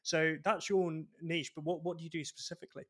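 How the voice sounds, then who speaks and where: A man's voice: a little high, steady tone, fast talker, fairly bright tone. A man hosting a podcast, talking in a chatty way and laughing easily. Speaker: podcast host. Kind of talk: casual podcast interview.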